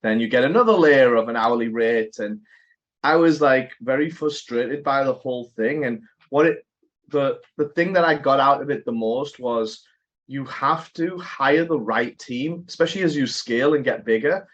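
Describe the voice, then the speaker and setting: A man's voice: mid-range voice, normal speed. Chatty man hosting an interview. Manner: animated, conversational, thinks aloud. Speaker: podcast host. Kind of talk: casual interview chat. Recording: dull lo-fi audio.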